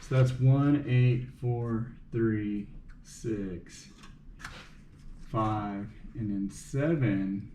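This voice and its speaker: A man's voice: fairly low pitch, extremely slow, dark tone. A man thinking aloud in short, muttered fragments while working on a car, unscripted and casual. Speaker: car mechanic making a repair video. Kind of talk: unscripted talking to himself while working on an engine. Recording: roomy echo, light background noise.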